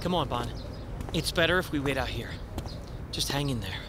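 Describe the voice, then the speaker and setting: A man's voice: mid-range voice, animated, moderate pace, very noisy, natural voice. A male actor in an audio drama playing an anxious young man, a devoted son. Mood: uneasy.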